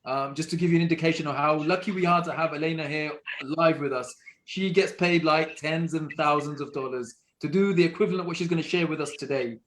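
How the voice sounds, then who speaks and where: A man's voice: a little high, steady tone, fast talker, fairly bright tone, poor audio. A man hosting an online interview, talking with many fillers and restarts over a video call. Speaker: host.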